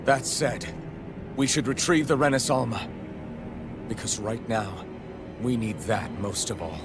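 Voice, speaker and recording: An actor playing an earnest, resolute young hero and leader in a video game, serious and determined. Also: male voice, mid-range voice, a little slow, natural voice. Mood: serious resolve. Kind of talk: dramatic video game acting. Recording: music behind.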